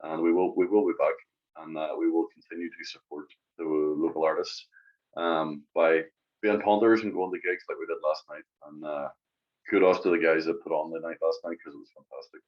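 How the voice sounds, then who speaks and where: A man's voice: rumbling low, steady tone, brisk, muffled recording. A Northern Irish man co-hosting a music podcast in casual chat, often repeating phrases for emphasis. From Northern Ireland.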